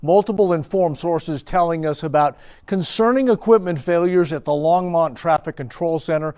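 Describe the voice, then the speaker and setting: Higher-pitched American male news reporter. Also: normal speed, darkish tone, smooth, dry recording, studio quality. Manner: emphatic, clipped sentence fragments. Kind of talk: scripted broadcast news report.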